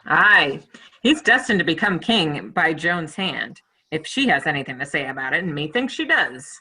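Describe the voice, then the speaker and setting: A woman's voice: deep voice, big pitch swings, normal speed, pushed and bright, slightly rough, full character voice. A woman playing a loyal, upright medieval French soldier in a play reading, with emphatic, archaic formal diction. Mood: dry resolve. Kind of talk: stage play table read.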